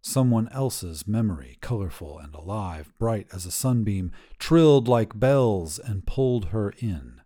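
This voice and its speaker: A man reads literary fiction aloud as a narrator. His voice is mellow and soft-edged, and he takes his time, shaping each phrase with measured storytelling drama. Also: fairly low pitch, animated. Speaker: narrator reading short fiction.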